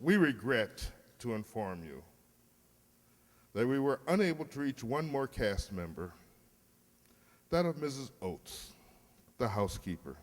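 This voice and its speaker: A man announcing a radio play from a script in a wry, theatrical, measured manner. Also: mid-range voice, sing-song. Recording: hall reverb, faint noise.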